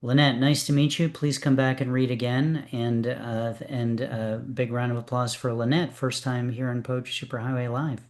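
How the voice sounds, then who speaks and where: A man's voice: mid-range voice, little pitch movement, moderate pace, hardly any pauses, darkish tone. Encouraging man hosting an open reading. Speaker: host of a poetry open reading. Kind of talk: casual hosting chat.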